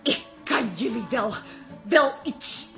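A woman's voice: a little high, takes it slowly, bright, forceful tone, soft background music. An actress playing a defiant, exasperated daughter in her forties, emphatic and feisty, in a radio drama. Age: 40s.

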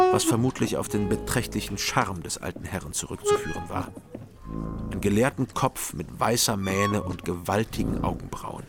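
Gravelly young man narrating dryly. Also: on the low side. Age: young adult. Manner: dry, matter-of-fact, wry. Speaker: narrator and investigator. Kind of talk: scripted radio crime narration.

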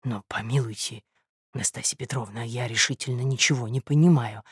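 A woman playing a naive young gentleman suitor in a story, earnest, polite and easily flustered. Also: extremely low pitch, fairly even intonation, fairly quick, husky, dry recording, studio quality, heavily acted. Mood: bewildered.

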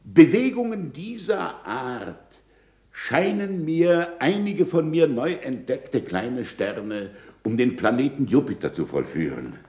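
An actor playing an earnest astronomer in a 1960s German radio play, speaking in a formal, deliberate and emphatic way. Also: male voice, medium pitch, big pitch swings, warm tone, roomy sound. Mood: earnest, explaining.

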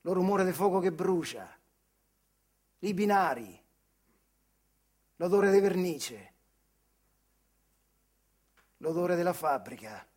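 An old retired factory worker with a notably high voice and a central Italian accent from the Marche. He is weary and bitter, with rueful irony. The delivery is a colloquial theatrical monologue steeped in dialect. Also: lively intonation, slow pace, pauses often, a little mellow, heavily acted. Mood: reflective. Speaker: solo stage actor.

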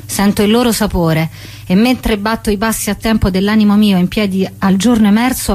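A woman speaks as a guest author and actress in a radio conversation. Her voice sits at a middle pitch, and she has a reflective, searching way of putting things. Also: a little mellow. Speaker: interviewed playwright and actress.

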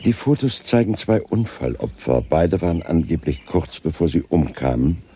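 An actor playing a polite, persistent Scotland Yard chief inspector in a German radio crime drama, formal and courteous. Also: male voice, deep voice, very animated, moderate pace, dark tone, breathy edge, exaggerated voice. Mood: probing, courteous.

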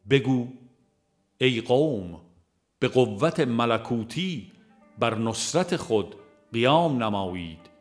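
A man reading a religious audiobook in a measured, formal, devotional way. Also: medium pitch. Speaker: audiobook narrator. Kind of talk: scripted audiobook narration of a religious text. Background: music.

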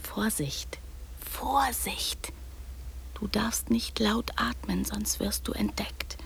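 An actress playing an imaginative, bossy little girl in a radio drama, often dropping into secretive whispers and then insisting loudly. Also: medium pitch, slow pace, vocal fry, poor audio. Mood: hushed, secretive. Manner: hushed, secretive whispering broken by sudden loud insistence.

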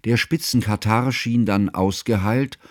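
A man playing a learned, devoted friend who narrates a radio drama in formal, ornate, measured literary style. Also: fairly low pitch, no room sound, clean studio sound, lightly acted. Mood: sober, measured.

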